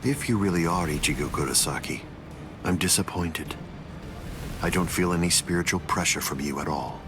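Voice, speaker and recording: A man playing a grandiose, coldly arrogant godlike villain in an anime game dub, speaking formally in a measured, pompous way. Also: sits low, natural voice. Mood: cold disappointment. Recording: loud music behind.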